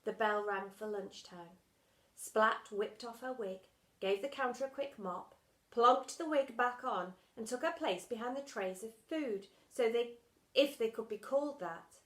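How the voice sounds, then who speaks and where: A woman reading a children's storybook aloud like a teacher, dramatic and expressive, punching the sound words. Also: a little high, a little slow.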